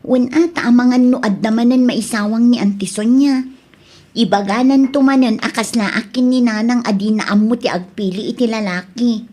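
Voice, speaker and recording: A woman's voice: a little high, fairly even intonation, moderate pace, warm tone, natural voice. A woman acting an earnest, protective adult daughter in an Ilocano-accented radio drama. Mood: curious, concerned. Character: protective, indignant adult daughter fretting over her father.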